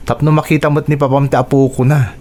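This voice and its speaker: A man's voice: medium pitch, level tone, quick pace, a little mellow, lightly acted. A man voicing a humble young husband who works as a tricycle driver, talking in a plain, easygoing way in a radio drama.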